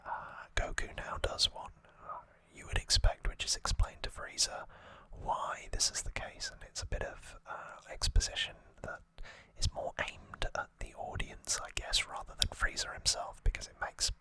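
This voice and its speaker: An ASMR host speaking in a soft, breathy, unvoiced whisper, explaining things in a gentle, hesitant way as in a relaxed recap. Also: moderate pace, hardly any pauses, no room sound.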